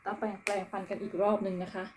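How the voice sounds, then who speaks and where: Quick-talking mother chatting with her child. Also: medium pitch, level tone, background noise. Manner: asks gentle leading questions with polite particles. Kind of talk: casual family chat.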